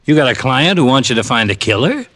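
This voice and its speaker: An actor playing a grumbling but cooperative police detective, plainspoken, in old-time radio drama style. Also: male voice, medium pitch, very animated, fast, a bit bright. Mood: grudging. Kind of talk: 1940s-50s radio drama acting.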